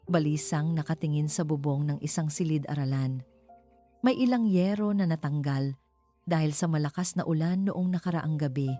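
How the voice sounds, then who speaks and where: A woman's voice: deep voice, moderate pace, mellow, faint music behind. A woman with a Filipino accent reading scripted narration of a romance story as its narrator.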